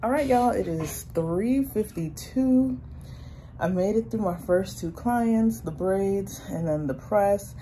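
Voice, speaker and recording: A woman's voice: medium pitch, slow pace, dark tone, a little hiss or hum, rough recording. A woman hairstylist narrating her salon vlog in a casual, chatty way, walking viewers through her steps. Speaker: natural hairstylist.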